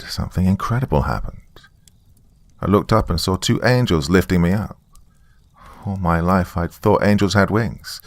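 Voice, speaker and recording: A man narrating a dramatic first-person story in a deliberate, folksy storytelling manner. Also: sits low, vocal fry, dry recording.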